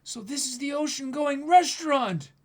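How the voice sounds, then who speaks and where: Bratty little boy cook voice, way up high, loud and emotional, manga reading. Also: moderate pace. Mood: cocky, bratty. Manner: shouty, bratty. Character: brash young boy cook, cocky and emotional.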